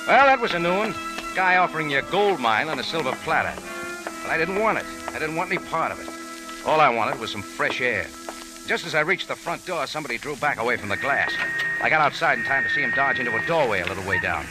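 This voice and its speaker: Man playing a wry, animated hardboiled adventurer. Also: mid-range voice, quick pace, close to an everyday voice, low-quality recording. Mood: wry, narrating. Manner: clipped hardboiled slang, wry. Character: tough, wry, world-weary male adventurer narrating his story. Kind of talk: 1940s-50s radio drama, first-person narration. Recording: old radio recording with music.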